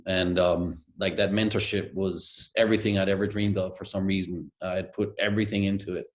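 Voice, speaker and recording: A man's voice: low-pitched, steady tone, brisk. A middle-aged man from Denmark, a guest telling stories in a hesitant, reflective way with many fillers, heard over a video call from a room at home. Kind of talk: reflective conversational storytelling. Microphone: video call webcam.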